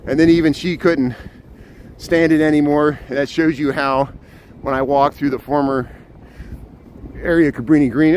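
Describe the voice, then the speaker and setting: A man with a loud voice live-streaming a walking tour outdoors, chatting casually and pointing out trains and buildings as he goes. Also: mid-range voice. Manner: chatty, pointing out sights as he walks. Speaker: urban planner. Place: outdoors on city streets. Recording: noisy city street with traffic.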